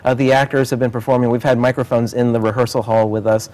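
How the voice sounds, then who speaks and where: A man's voice: medium pitch, little pitch movement, fast talker, darkish tone, rough recording. A man speaking as a theater director in an interview, earnest and enthusiastic about his work. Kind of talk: promotional interview about a stage production.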